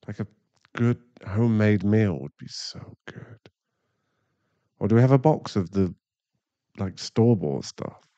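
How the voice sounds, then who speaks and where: Man acting a mellow British boyfriend. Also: deep voice, no echo, dull audio. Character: caring young boyfriend, chatty and easily flustered. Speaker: voice actor in an ASMR boyfriend roleplay. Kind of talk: intimate ASMR boyfriend roleplay acting.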